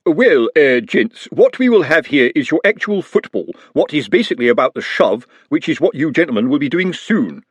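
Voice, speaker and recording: A man's voice: on the high side, sing-song, moderate pace, hardly any pauses, breathy edge, dry recording, pitched above the performer's own voice. A man voicing an awkward, deferential older groundsman who rambles in tangled phrases.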